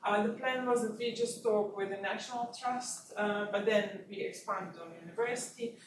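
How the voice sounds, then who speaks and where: A woman's voice: medium pitch, fairly even intonation, moderate pace. A woman from Bosnia, giving an academic seminar talk in English with many fillers and restarts. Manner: frequent fillers and restarts, slightly non-native phrasing. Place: seminar room. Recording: echoey room, mic at a distance, noisy.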